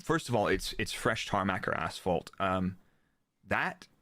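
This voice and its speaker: A man with an American accent and a fairly low voice talks through technical material in a casual way. The sound is dry and close, and he tends to illustrate points with quoted dialogue. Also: expressive, measured pace, fairly bright tone, dry recording. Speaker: guest, former Formula 1 performance engineer.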